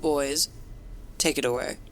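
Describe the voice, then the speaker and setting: Blunt, assured woman general for fantasy audio drama, female actor: crisp, commanding, pointedly formal, slight background ambience. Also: a bass voice, fairly even intonation, unhurried, a little hiss or hum. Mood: brisk, commanding.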